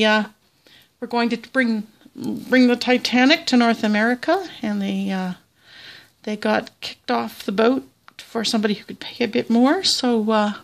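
Slow, chatty Southern Ontario woman. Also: a little high. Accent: Southern Ontario Canadian. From Canada. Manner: chatty, rambling, many fillers and restarts. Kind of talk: casual show-and-tell narration. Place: small indoor tabletop setup.

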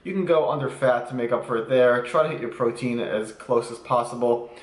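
A man's voice: mid-range voice, brisk. A young man in his twenties talks casually and cheerfully to his camera, explaining his eating habits as he goes. His voice sits in the middle of the male range. The small home room adds a roomy echo. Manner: upbeat, explains nutrition while eating. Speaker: fitness vlogger. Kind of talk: casual food vlog.

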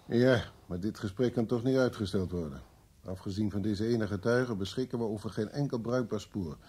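A world-weary police chief in a radio play. His voice is low, husky and mellow, and he talks formally and with measure. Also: normal speed. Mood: grave, businesslike. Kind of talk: radio drama acting.

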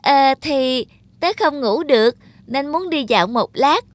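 Earnest high-pitched cartoon mug kid. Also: female voice, animated, a little slow, faint music behind. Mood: cautious warning. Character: earnest, cautious, loyal young child mug. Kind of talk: cartoon child acting.

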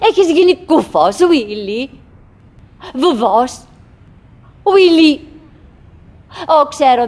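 A woman's voice: high voice, close to an everyday voice. An actress playing a tender, wistful, chattering wife who coaxes her silent husband in declamatory stage style. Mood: pleading. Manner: declamatory, coaxing, with dramatic swings. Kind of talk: theatrical stage acting. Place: theatre stage, live recording.

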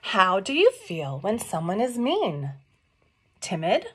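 A middle-aged woman reading a picture book aloud to young children, expressive and questioning, explaining things simply as she goes. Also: mid-range voice, takes it slowly, slightly rough. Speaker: read-along storyteller for young children. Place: small room at home.

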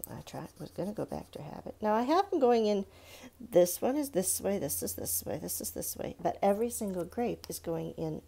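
Casual children's art teacher, female, gravelly edge, soft mellow tone, patient and encouraging. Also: fairly low pitch. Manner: patient, encouraging, talking along while drawing. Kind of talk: casual step-by-step drawing tutorial for children. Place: indoors at a countertop.

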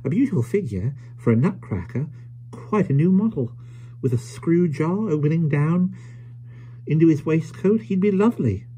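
A man voicing a timid, dreamy old working man in a storybook reading, hushed, wondering and rambling. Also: on the high side, lively intonation, moderate pace, husky, voice pushed up, low-quality recording. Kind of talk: audiobook character voice.

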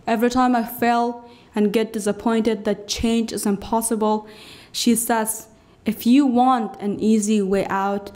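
A woman's voice: on the high side, fairly even intonation, darkish tone. Young Afghan woman, English, earnest podium storyteller, phrase-by-phrase delivery. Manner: earnest, emphatic, pausing between phrases. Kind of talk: personal story told on stage. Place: cultural centre stage. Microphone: podium mic on stand.

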